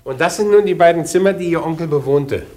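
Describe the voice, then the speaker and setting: A man's voice: fairly high pitch, quick pace, dark tone, close to an everyday voice. Suave patronising businessman, acted by a man, noisy old recording. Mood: smooth, persuasive. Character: smooth, worldly businessman, condescendingly guiding a young heir. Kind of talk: 1950s German radio play acting. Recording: noisy 1950s radio play recording.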